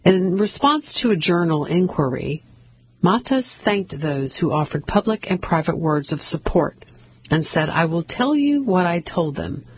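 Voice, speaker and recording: A reader going through newspaper articles aloud at a steady pace, with occasional slips and restarts. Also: medium pitch, unhurried, slightly rough, low-quality recording. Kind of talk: reading news articles aloud.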